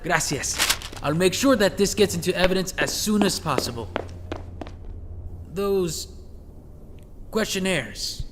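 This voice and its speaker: A man's voice: high-pitched, measured pace, long pauses, noisy background. An actor playing a chatty, wisecracking male police detective, a sardonic and eager sidekick in an audio drama. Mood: polite, probing. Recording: reverberant hall, music underneath.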